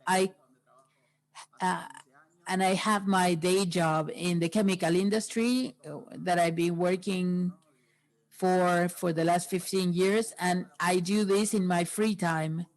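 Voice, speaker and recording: A woman interpreting a workshop into English, pausing often between phrases. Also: lowish voice, fairly even intonation, rough recording.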